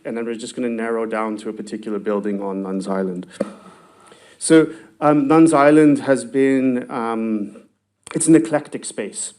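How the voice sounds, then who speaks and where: A man's voice: medium pitch, darkish tone. A male university researcher giving a conference presentation, talking hesitantly with lots of ums, restarts and trailing phrases. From not from Galway.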